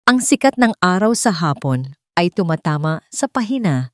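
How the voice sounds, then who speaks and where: A woman's voice: lowish voice, very animated, normal speed, dry recording. A woman with a Filipino accent reading a scripted dramatic story as a narrator. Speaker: storyteller of an audio love drama.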